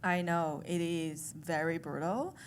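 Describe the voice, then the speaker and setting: Slow-talking Chinese-accented woman comic. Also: fairly low pitch, noisy background. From China. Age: 30s. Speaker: stand-up comedian. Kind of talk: stand-up comedy set. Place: comedy club stage.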